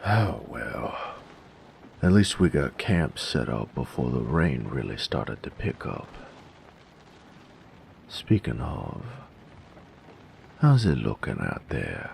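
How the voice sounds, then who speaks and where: A man playing a weary, sardonic knight in a fantasy audio drama, grumbling and teasing in a gravelly, folksy drawl. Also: very animated, slow, pauses often, a little mellow, a little hiss or hum, exaggerated voice. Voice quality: gravelly, very deep.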